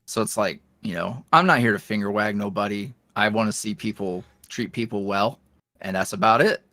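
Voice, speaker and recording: A man's voice: on the low side, normal speed, sharp tone, no room sound. A man chatting casually as a podcast guest, in a folksy, self-deprecating and jokey manner. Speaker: interview guest, online audio roleplay creator.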